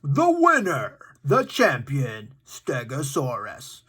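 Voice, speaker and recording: A man reads aloud for a children's story time with a very lively, swooping delivery. He takes his time, breaking into casual asides and excited exclamations. His manner is playful and dramatic. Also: mid-range voice, slow, slightly rough. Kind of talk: animated read-aloud with casual asides to young viewers.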